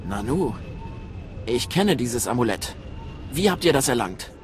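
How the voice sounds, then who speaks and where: A scheming male dark agent in a video game. His mid-range voice is clipped and sardonic, used to giving orders. A faint ambient noise lies behind it. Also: medium pitch, big pitch swings, a little slow. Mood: urgent, guarded. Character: shrewd, scheming adult male Sith agent. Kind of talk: dramatic game voice acting.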